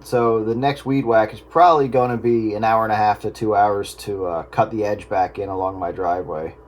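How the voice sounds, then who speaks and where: A man chatting casually on a two-way radio, in a halting, folksy way. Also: on the low side, steady tone. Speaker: radio hobbyist on a group channel. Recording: noisy two-way radio transmission.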